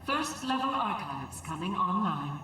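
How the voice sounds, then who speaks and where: Echoing, guarded station AI. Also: female voice, medium pitch, very animated, bright, forceful tone, slight background noise. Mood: clinical, guarded. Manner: clipped system announcements, deliberate and dry. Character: resentful female station AI, guarded, coldly clinical and weary. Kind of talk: video game cutscene acting. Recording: very echoey, distant, music behind.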